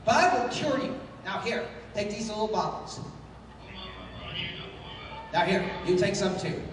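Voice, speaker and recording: A man's voice: high-pitched, animated, unhurried, a little hiss or hum. Man playing a heroic adventurer doctor in a cavernous echo. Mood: firm, reassuring. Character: heroic adventurer doctor, calm, resolute, commanding leader. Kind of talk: 1930s pulp radio drama acting. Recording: very echoey distant hall with music.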